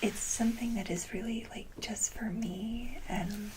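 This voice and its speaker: A woman hosting an ASMR video, speaking casually in a breathy, gentle whisper, in short halting phrases. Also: a little high, fairly even intonation, noisy background. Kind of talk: soft-whispered ASMR, casual show-and-tell.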